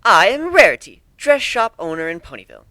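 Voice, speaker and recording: A man's voice: high-pitched, very animated, a little fast, pushed and bright, no room sound, studio quality, voice pushed up, exaggerated voice. A man voicing a refined, fussy female pony with a prim, dainty manner for a story reading. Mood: proud.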